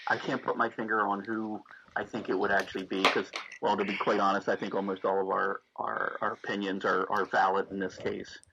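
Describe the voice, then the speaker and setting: A man in a casual podcast discussion, speaking haltingly as he searches for his words. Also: lowish voice, flat delivery. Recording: distant, dull audio with clear background noise.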